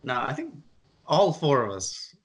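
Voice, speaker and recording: A man's voice: mid-range voice, wildly swooping pitch, a little slow, husky. A man hosting a casual podcast, explaining things in a rambling, exasperated way. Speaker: podcast host.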